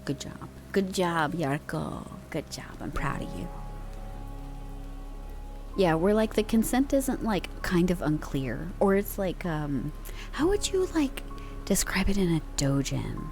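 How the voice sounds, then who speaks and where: A woman's voice: on the low side, very animated, measured pace, darkish tone, soft background music. A young woman streamer chatting casually with her viewers in a slangy, laid-back way, using pet names, on a close microphone.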